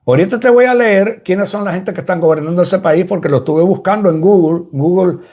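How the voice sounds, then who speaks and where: A man's voice: high voice, a little fast, darkish tone. A man from Cuba, preaching a sermon and repeating points as he explains them to listeners. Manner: repetitive, explanatory preaching that addresses listeners directly. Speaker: apostle preaching.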